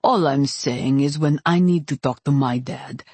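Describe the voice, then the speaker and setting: A woman puts on a dark god's priest, a man with a very low, rough-edged voice. He is wry and placating, reasoning soothingly with dry humor, as an audiobook character in a dry recording. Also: unhurried, no room sound.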